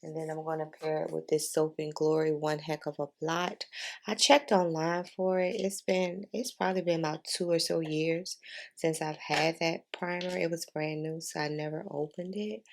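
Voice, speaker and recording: A woman's voice: low-pitched, gravelly. A woman talking in a casual, chatty way as a beauty vlogger giving a makeup tutorial, informal and repeating herself for emphasis.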